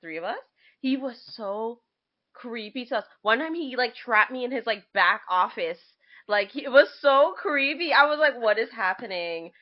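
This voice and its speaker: A woman's voice: on the high side, lively intonation, normal speed, muffled recording. A woman in her twenties chatting casually as a podcast co-host, telling anecdotes in a loose conversational way.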